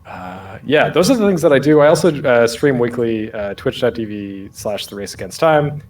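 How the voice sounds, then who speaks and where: A man's voice: mid-range voice, darkish tone, a little hiss or hum. A man presenting a casual online panel as a podcaster, speaking off the cuff in a rambling, self-deprecating, jokey way.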